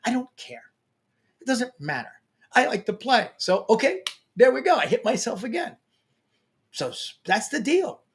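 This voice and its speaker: Lively New York man giving a pep talk. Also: very high voice, moderate pace, pauses often. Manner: energetic, emphatic, playful. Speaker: LinkedIn coach. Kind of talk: motivational talk to camera.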